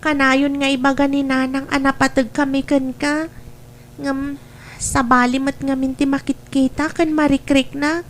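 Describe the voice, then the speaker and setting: A plaintive young daughter, played by an actress in an audio drama, speaking in a high voice with a northern Philippine accent. She is soft and dutiful, longing for her father. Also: flat delivery, normal speed, a little hiss or hum, lightly acted. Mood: wistful, hurt.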